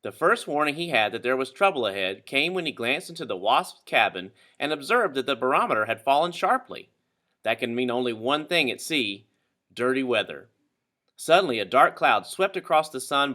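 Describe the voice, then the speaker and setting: A man reading a children's story aloud with expressive, dramatic narration. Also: mid-range voice, normal speed. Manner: dramatic, animated. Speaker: storybook reader.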